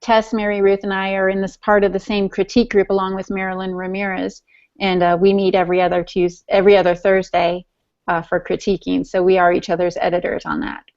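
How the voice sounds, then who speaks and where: Chatty woman podcast cohost, playful, teasing, medium pitch, kinda muffled lo-fi sound. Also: flat delivery, a little fast, darkish tone. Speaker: podcast co-host. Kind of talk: casual podcast chat. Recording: dull, lo-fi podcast audio.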